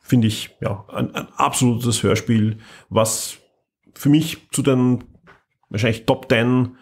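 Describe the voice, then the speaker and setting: A man chats as a podcast host, keen and animated, often restarting his sentences. His voice is husky with a breathy edge, in a mid pitch. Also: slow pace. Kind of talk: casual chat.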